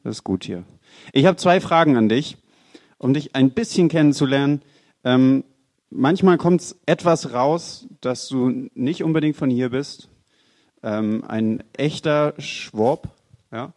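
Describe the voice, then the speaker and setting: A man hosting a church gathering who introduces a guest preacher and then prays for him, sounding friendly and growing soft-spoken in prayer. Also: mid-range voice, slow pace. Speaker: church host. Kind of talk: introducing a speaker and praying. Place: church hall.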